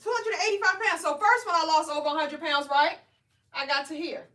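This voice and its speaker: A woman coaches viewers on a live stream, energetic and preachy, tagging "right?" onto her points. Her voice sits high. It echoes around the room, a little away from the mic. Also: fairly quick, distant mic. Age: 40s. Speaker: weight loss coach. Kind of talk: live stream motivational talk.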